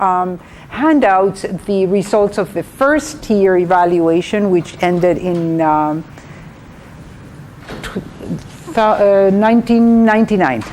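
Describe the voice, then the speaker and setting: A woman with a Guatemalan accent giving a training talk as a guest speaker, in a halting way with repeated words. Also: mid-range voice, a little mellow. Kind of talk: training presentation to an audience. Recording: clearly noisy live room recording.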